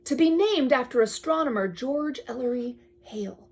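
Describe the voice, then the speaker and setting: A woman reading aloud to a child with chatty asides, expressive and full of wonder, often dropping to a whisper. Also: sits high, normal speed, breathy edge.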